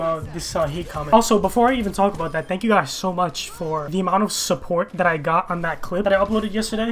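A young man talks fast and loose over his game, his voice bright and a bit high for a guy. He rambles, jokes and swears freely into a gaming headset at home. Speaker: gaming streamer. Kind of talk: casual gameplay commentary. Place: bedroom gaming setup.

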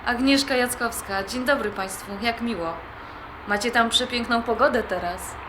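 An actress playing a polite, caring young adult daughter in a radio drama, affectionate and natural. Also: female voice, fairly high pitch, moderate pace, lightly acted. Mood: polite, warm. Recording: clearly noisy background.

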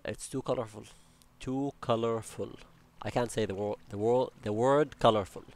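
A man's voice: mid-range voice, moderate pace, darkish tone. A young man with an Arabic accent, casually commenting while building in a game, rambling with jokey asides and talking to himself. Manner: chatty, rambling, jokey asides and self-talk. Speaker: Minecraft building channel host.

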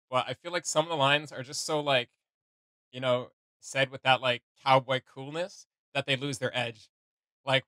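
A man's voice: mid-range voice, pushed and bright, no echo. A young man giving casual reaction commentary, hesitant as he gropes for the right words. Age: young adult. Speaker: reaction video host. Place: small home studio room.

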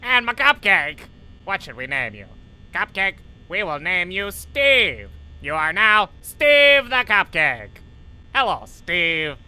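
Man acting as creepy cartoon schoolteacher, very bright forceful voice, high swooping pitch, theatrical game commentary, exclamatory. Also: big pitch swings, takes it slowly, clean tone, full character voice. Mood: cheerful. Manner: theatrical, exclamatory, talks to himself and game characters. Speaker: gaming video host. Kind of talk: in-character video game commentary.

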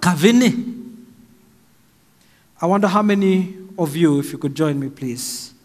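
A middle-aged Kenyan man preaching a slow, emphatic sermon from a pulpit stand mic in a large church hall. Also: on the high side, darkish tone. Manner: slow and emphatic, raising his voice for stress. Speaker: pastor. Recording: long hall reverb, faint music.

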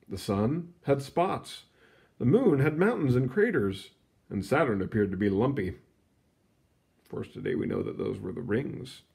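A man reading a children's science book aloud in an expressive storytelling manner, stretching words for emphasis, with casual asides. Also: medium pitch. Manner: animated, storytelling delivery with drawn-out emphasis. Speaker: read-aloud reader.

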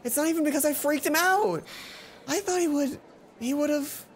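A man's voice: extremely high pitch, normal speed, pitched above the performer's own voice. A man voicing an indignant, brash teenage schoolgirl from a visual novel, in a whiny, exasperated way. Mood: upset, whiny.

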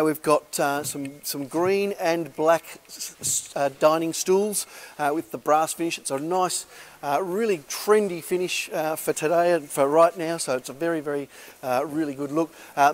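A man's voice: fairly high pitch, steady tone, normal speed. A middle-aged man, walking through a large warehouse and giving a chatty, upbeat auction preview full of "uh" fillers. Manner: chatty and upbeat, many "uh" fillers, calls listeners folks. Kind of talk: casual walk-and-talk sales tour. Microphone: handheld camera while walking.

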